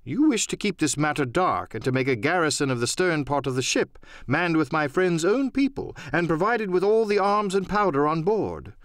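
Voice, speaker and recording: A man voicing a shrewd, courteous gentleman doctor in an audiobook drama, with a measured, polite and gently probing manner. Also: on the high side, very animated, no echo, clean studio sound, pitched above the performer's own voice. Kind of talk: audiobook radio-play acting.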